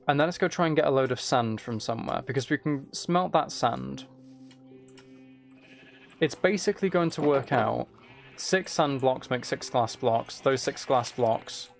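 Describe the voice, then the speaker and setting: A man with a gravelly, creaky edge to a mid-pitched voice talks himself through a video game. He muses casually and hesitantly as he plans aloud. Faint noise with keyboard typing and clicks sits behind him. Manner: musing, self-talking, hesitant planning. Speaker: gaming streamer. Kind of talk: casual gameplay commentary, thinking aloud. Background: faint noise, computer keyboard and mouse clicks.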